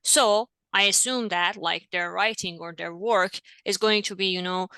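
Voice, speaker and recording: A woman's voice: fairly low pitch, unhurried, bright, forceful tone, smooth, no echo, rough recording. A woman whose first language is Farsi, speaking conversationally as a professor hosting an interview, emphatic and often checking for agreement.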